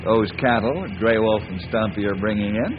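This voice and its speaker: An actor playing a brave, devout, wry ranger hero in an old time radio western. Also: male voice, on the low side, normal speed, natural voice. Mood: confident. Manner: wry, reassuring, homespun. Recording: muffled, noisy old radio recording.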